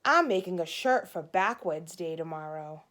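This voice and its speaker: A woman's voice: on the low side, animated, moderate pace, fairly bright tone, pitched below the performer's own voice. A woman voicing a curious little brother in a children's storybook, eager and full of questions. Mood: pleading. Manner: eager, questioning, wide-eyed. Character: curious, pestering little brother, a young boy. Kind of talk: children's storybook read-aloud.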